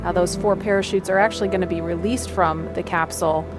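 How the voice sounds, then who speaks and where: A woman's voice: lowish voice, fairly even intonation, fast, over music. A woman hosting a live launch broadcast, commentating and raising her voice to carry over the room. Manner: raising voice over cheering crowd. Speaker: launch webcast host. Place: indoor room with cheering crowd.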